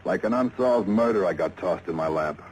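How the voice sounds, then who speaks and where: An actor playing a harried, world-weary American police lieutenant, blunt and slangy, in a 1950s radio drama. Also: male voice, low voice, lively intonation, moderate pace, darkish tone. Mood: harried, grumbling. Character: harried, gruff male big-city police detective lieutenant. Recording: noisy vintage radio recording.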